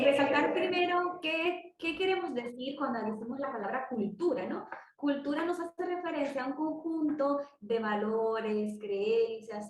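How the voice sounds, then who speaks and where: Psychology student from the Dominican Republic; slightly high voice, measured delivery, echoey room with a distant mic. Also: female voice. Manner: measured, with frequent restarts. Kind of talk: group class presentation.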